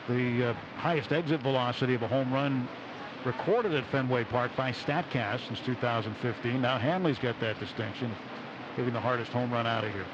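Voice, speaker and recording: A man giving conversational live commentary as the second voice on a radio baseball broadcast. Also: mid-range voice. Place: open broadcast booth at outdoor ballpark. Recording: dull, noisy outdoor radio broadcast. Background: loud stadium ambience.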